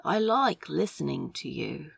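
A woman acts out a worried, soft-hearted man in an audio fiction story. He speaks low and husky, with a gravelly edge, careful and earnest in manner. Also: very animated, measured pace, recorded from a distance, full character voice. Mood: earnest, gentle. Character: gruff but tender, worried, quiet man.

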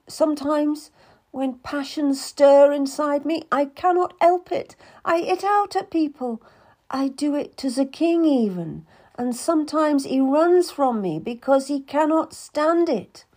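A woman's voice: expressive, slow, full character voice. A woman reading an audiobook, voicing a young noblewoman who pleads through tears, at times sinking to a whisper. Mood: pleading. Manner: high, tearful pleading with hushed whispers.

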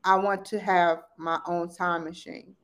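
A woman's voice: on the low side, flat delivery, a little slow, fairly bright tone. A woman in her thirties, a podcast guest, talking in a rambling, enthusiastic way and laughing often. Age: 30s. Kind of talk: casual chat.

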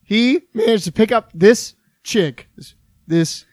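A man's voice: high voice, voice pushed up. A man putting on a voice to play a slangy, laid-back bro acting as a lawyer. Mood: cocky. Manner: drawling bro slang, fast and loose.

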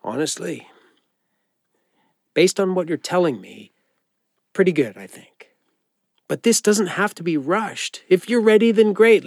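A kindly male doctor in an audio drama. His voice sits a little high, measured and reassuring, in a dry close recording. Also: dry recording. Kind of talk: naturalistic audio drama acting.

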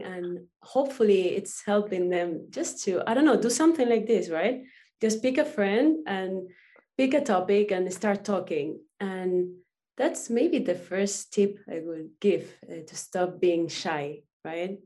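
A woman's voice: medium pitch, warm tone. Woman coach, spanish accent, english second language, encouraging, chatty. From Spain. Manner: frank, encouraging, with restarts. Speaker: coach for actors, guest. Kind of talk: casual interview chat.

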